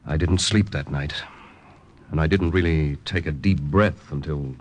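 An actor playing a composed, proper-spoken American army lieutenant held captive, in old-time radio drama style with narration. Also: male voice, extremely low pitch, unhurried, a little mellow. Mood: measured, grave. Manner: precise, proper speech. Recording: old radio recording with music.

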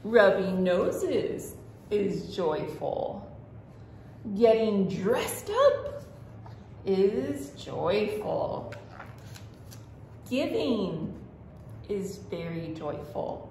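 Lively, slow-paced woman reading to children. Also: mid-range voice. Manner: simple, expressive delivery addressed to young children. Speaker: children's librarian, storytime host. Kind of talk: read-aloud children's storytime. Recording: roomy echo with faint background noise.

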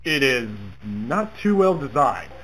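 A man playing a patient, halting fellow giving casual instructions in a horror game. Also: medium pitch, big pitch swings, a bit bright, a little hiss or hum, heavily acted, rough recording. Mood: patient, helpful.

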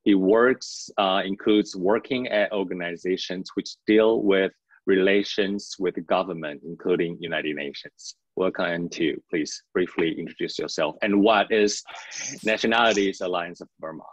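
Deep-voiced young man moderating a panel. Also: breathy edge, dry recording. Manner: measured, reads out introductions with small fillers. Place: indoor home office on a video call. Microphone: video call. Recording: dull video call audio.

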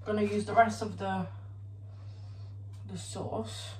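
A woman's voice: medium pitch, fairly even intonation, slow pace, slight background noise. A young woman chatting to her phone camera while she does dishes in a small home kitchen. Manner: chatty, apologetic, talks while washing dishes. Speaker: vlogger. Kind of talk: casual chat to camera while doing chores. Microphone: phone camera propped on the counter. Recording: loud running water from the sink. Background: running water and kitchen clatter.

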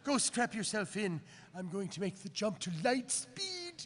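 A man's voice: high voice, very animated, unhurried, fairly bright tone, heavily acted. A man voicing a brash, hammy cartoon space smuggler in a live comic script reading. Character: swaggering high-voiced cartoon take on a macho space smuggler pilot. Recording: echoey convention hall PA.

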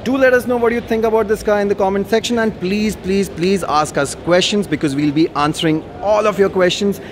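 A man's voice: high voice, a little fast. A man presenting a car on a walkaround at an expo, explaining casually with plenty of ums and uhs. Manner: casual explaining with many fillers. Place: large indoor auto expo hall. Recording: noisy expo hall.

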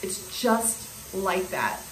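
A woman's voice: medium pitch, very animated, very slow. Woman in her forties, earnest spiritual musing, slightly scratchy throat, echoey bathroom, faint hiss. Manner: earnest, musing, with hand gestures and restarts. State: scratchy throat, clearing it often. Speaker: spiritual vlogger. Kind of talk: casual spiritual monologue to camera. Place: small indoor bathroom.

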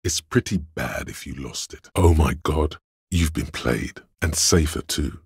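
An actor playing a calm, analytical inner voice of logic in a video game, gravelly and husky, speaking in a dry, measured, knowing way. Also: male voice, rumbling low, no room sound, heavily acted. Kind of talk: video game voice-over narration.

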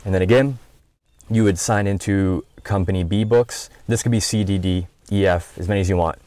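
Low-voiced American man giving a tutorial. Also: a little fast, a little mellow, no echo. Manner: conversational, explanatory. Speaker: tutorial instructor. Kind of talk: informal screen-share software tutorial.

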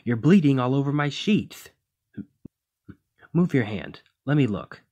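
A man playing a gruff, protective demigod hero in an ASMR roleplay, scolding yet caring, with a husky voice. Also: medium pitch, expressive, measured pace, darkish tone, dull audio. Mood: alarmed, scolding. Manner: exasperated, bossy, caring.

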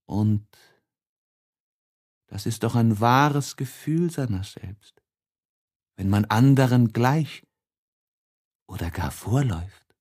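A man reading literary prose as an audiobook narrator, expressively and with deliberate, measured phrasing. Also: medium pitch, lively intonation, a little mellow.

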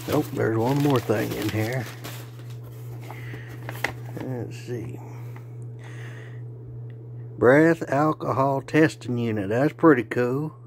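A man, hoarse and losing his voice, chatting in a folksy, joking way while vlogging on a phone held at arm's length. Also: medium pitch, a little hiss or hum. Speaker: railfan vlogger. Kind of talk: casual folksy vlog. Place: outdoors by a car, then indoors at home.

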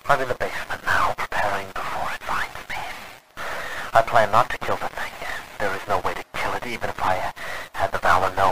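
An actor playing a desperate, devoted man recording a last message, speaking gravely and haltingly in radio drama style. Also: low voice, noisy background, close to an everyday voice. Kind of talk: golden age radio drama acting.

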